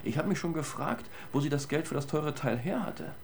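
An actor playing a flippant, slangy police investigator in a radio crime drama, casual and matter-of-fact. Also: male voice, fairly high pitch, animated, brisk, vocal fry, a little hiss or hum.

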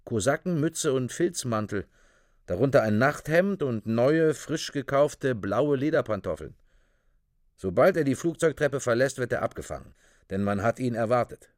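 A man's voice: medium pitch, expressive, moderate pace, darkish tone, no room sound, clean studio sound. A man narrating a radio play from a script in a clipped, official, report-like manner.

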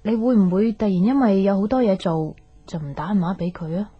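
An actress with a Hong Kong Cantonese accent playing a pensive, soft-hearted young woman unsure in love, reflective and earnest in an intimate radio drama. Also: mid-range voice, brisk, slightly rough, natural voice. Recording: dull radio recording, music underneath.

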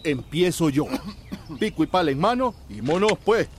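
An actor playing an eager, impatient village well digger in a radio drama, boisterous and colloquial. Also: male voice, a little high, very animated, moderate pace, slight background noise.

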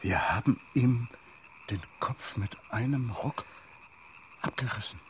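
A woman's voice: very deep voice, slow, slight background noise. A woman in a radio play playing a callous, gleeful female fiend, drawing words out from murmurs to shrieks. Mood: hushed, callous. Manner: drawn out, veering from murmurs to shrieks. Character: callous, gleeful female head-tearer, cackling and macabre. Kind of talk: stylized radio play acting.

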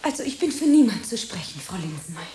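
A woman's voice: mid-range voice, sing-song, quick pace, dark tone, slight background noise, lightly acted. A woman playing a dreamy, self-dramatizing young aspiring actress in a radio play, theatrical and whimsical in her delivery. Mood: earnest, evasive. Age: young adult.

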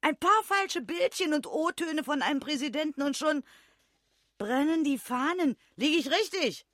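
A female police detective in a radio drama. Her voice is high and closely, dryly recorded. She questions sharply, with articulate irony that tips readily into indignation. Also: animated, a little slow, a bit bright, no room sound, studio quality. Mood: sardonic.

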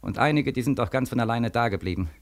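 A man's voice: mid-range voice, fast talker, natural voice. A man acting a bitter, desperate war returnee of twenty-five in a 1940s German radio drama, insistent and plaintive. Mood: desperate, accusing. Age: young adult. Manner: plaintive, insistent, with repeated phrases.

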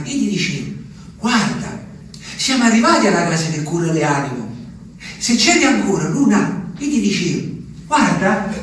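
An actor playing a simple, haunted country man who tells his story softly and breaks into strained outbursts, in a radio drama. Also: very high-pitched, far from the mic, slight background noise. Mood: anguished. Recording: echoey radio broadcast, voice a bit off mic.

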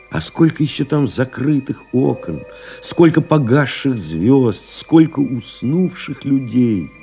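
An actor playing a reflective male pilot in an audio play, delivering a measured, contemplative literary monologue. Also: sits low, vocal fry, warm tone, full character voice. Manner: measured, contemplative, deliberate phrasing. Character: reflective male French pilot recalling his flights. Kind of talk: dramatic literary monologue, scripted narration.

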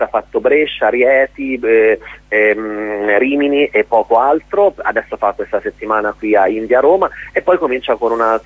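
A man being interviewed on radio as a theatre director, explaining his work in a searching way, often pausing to rephrase or clear his throat. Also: medium pitch, no room sound. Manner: hesitant, searching for words, frequent throat-clearing. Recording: muffled, tinny phone line on radio.

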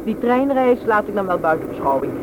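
Prim, high-voiced thrifty man. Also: big pitch swings, a little fast. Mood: matter-of-fact. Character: thrifty, proper companion on an awkward first meeting. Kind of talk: radio play acting. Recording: dull, noisy old radio recording.

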